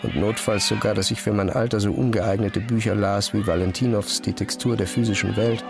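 Gravelly-voiced male literary narrator. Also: on the low side, fairly even intonation, a little fast. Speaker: first-person narrator. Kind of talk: literary scripted narration, audio drama. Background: music.

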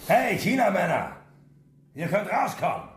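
An actor in a radio drama playing a crude, aggressive, hungry young biker. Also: male voice, sits high, sing-song, unhurried, reverberant, soft background music. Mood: aggressive, bullying. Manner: crude, loud, bullying.

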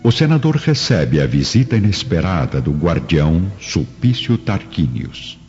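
Very deep, animated male drama narrator. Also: unhurried. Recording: dull lo-fi recording, music behind.

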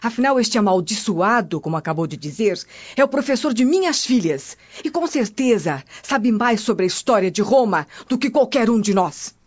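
A woman's voice: medium pitch, expressive, moderate pace, hardly any pauses, slightly rough. An actress playing a dignified Roman lady of the house in a radio drama, polite but firm. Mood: firm reproach. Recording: dull lo-fi audio.

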